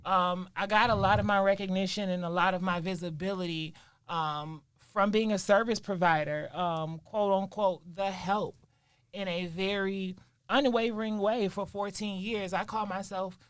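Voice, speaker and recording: A woman's voice: mid-range voice, steady tone, normal speed, smooth, no room sound. A woman from Detroit, a guest on a podcast, telling her story in a candid, emphatic way. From Detroit, Michigan. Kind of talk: casual podcast conversation.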